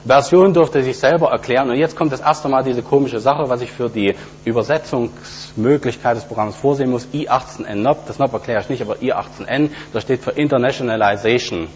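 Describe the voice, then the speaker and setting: Man giving a halting technical talk. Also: mid-range voice. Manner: hesitant, many fillers, explanatory. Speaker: conference speaker. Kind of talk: technical talk with live demo. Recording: dull lo-fi recording with faint hum.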